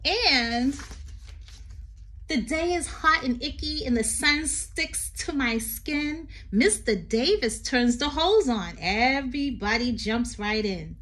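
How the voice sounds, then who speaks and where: Slow, high-voiced woman reading to children. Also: expressive, fairly bright tone. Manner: sing-song, drawn-out emphasis with chatty asides. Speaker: teacher reading to her class. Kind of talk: expressive picture-book read-aloud of a poem for young children. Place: small room indoors. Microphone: phone at arm's length.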